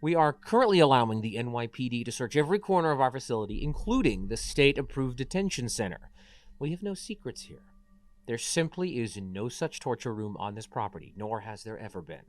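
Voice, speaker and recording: A man's voice: mid-range voice, very animated, lightly acted. Man acting a self-righteous, formal corporate villain. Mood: composed, defensive. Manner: measured, formal public statement. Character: smooth, self-righteous male corporate boss, deceitful villain. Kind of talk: dramatic table read acting.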